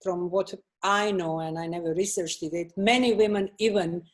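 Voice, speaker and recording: A woman's voice: on the low side, fairly even intonation, moderate pace. A woman moderating a panel discussion, thoughtful and hesitant, with many fillers.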